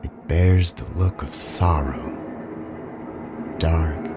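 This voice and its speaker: A man narrating a scripted first-person story in a dramatic, brooding, deliberate manner. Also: extremely low pitch, over loud music.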